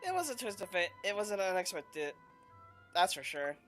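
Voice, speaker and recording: A man's voice: sits high, big pitch swings, moderate pace, a bit bright. A man giving casual, improvised commentary on a video game playthrough, a little hesitant. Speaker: gamer, host of a playthrough. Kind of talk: casual gameplay commentary, reading choices aloud. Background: faint game music.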